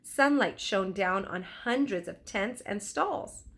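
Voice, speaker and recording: A woman narrating a children's storybook aloud in an expressive, deliberate storytelling style. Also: mid-range voice. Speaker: teacher reading aloud.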